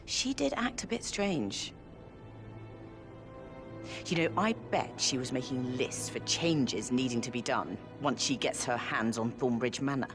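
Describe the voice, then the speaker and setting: An actress playing a crisp, gossipy upper-class woman who sounds impatient, over game music. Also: very deep voice, lively intonation, measured pace. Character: brisk upper-class British woman, sharp-tongued sister. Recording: game audio with music behind.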